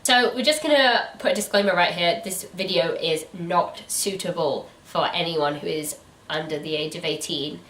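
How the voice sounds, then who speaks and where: A woman co-hosting a book review channel, chatting casually in an animated, incredulous way. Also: on the low side, moderate pace, distant mic. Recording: echoey room, away from the mic.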